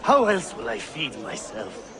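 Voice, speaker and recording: A man's voice: fairly high pitch, wildly swooping pitch, unhurried. An actor playing a shifty, desperate male petty thief in a video game, evasive and defensive. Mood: scared, defensive. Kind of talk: video game cutscene acting. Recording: music and street crowd behind.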